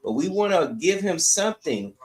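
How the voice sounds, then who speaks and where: A man's voice: sits high, big pitch swings, moderate pace, rough recording. A man co-hosting a sports podcast, giving casual, opinionated takes emphatically and arguing through rhetorical questions.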